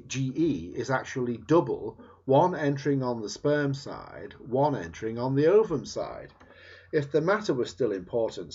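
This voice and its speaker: Husky man reading aloud, very varied pitch. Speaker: reader of a book chapter. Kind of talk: scripted read-aloud narration, audiobook style. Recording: dull, muffled lo-fi recording.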